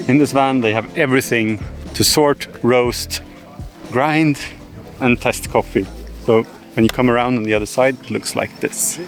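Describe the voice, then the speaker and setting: A man's voice: medium pitch, steady tone, moderate pace. A man narrating his filmmaking vlog with enthusiasm. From Sweden. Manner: enthusiastic, reflective. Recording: music playing underneath.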